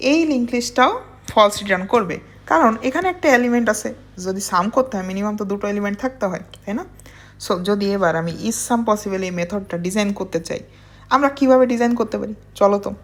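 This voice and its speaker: Woman, fairly high voice, programming instructor, informal step-by-step explaining, frequent check-in questions. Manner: checks in often with rhetorical questions; mixes English technical terms into speech.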